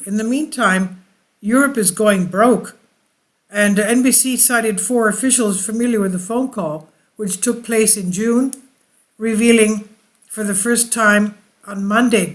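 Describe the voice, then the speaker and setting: An online news commentator reading articles aloud with off-the-cuff remarks, in a halting delivery that stumbles over the text. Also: mid-range voice.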